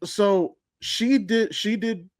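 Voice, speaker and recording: A man's voice: sits high, takes it slowly, dry recording. A man hosting a casual podcast, giving his opinions bluntly and speaking straight to the listeners. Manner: blunt, sweary, addresses listeners directly. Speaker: podcast host.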